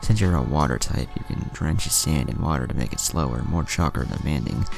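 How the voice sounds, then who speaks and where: Casting: male narrator as self-assured teen boy ninja, cheeky yet protective, very low and crackly. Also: lively intonation, a little fast, creaky, over music, exaggerated voice. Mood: easy, teasing.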